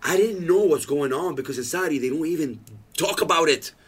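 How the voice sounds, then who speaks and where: A man's voice: fairly high pitch, a little fast, husky. A man in his thirties, a podcast guest, telling stories in casual chat and acting out the lines he quotes. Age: 30s. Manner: animated storytelling, acts out quoted lines.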